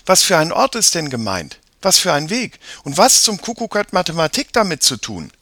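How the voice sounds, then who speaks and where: A man voicing a lively young girl in a children's audiobook, eager, questioning and insistent. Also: on the high side, moderate pace, no room sound, clean studio sound, pitched above the performer's own voice, full character voice. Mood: uneasy, questioning.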